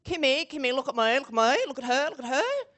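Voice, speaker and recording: A snide, gossiping woman in the front row , acted out by a woman speaker, jeering in high, shrill asides. Her voice leaps up in pitch and swings widely as she mocks. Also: big pitch swings, fast talker. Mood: nagging. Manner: snide, mocking, loud whispering asides.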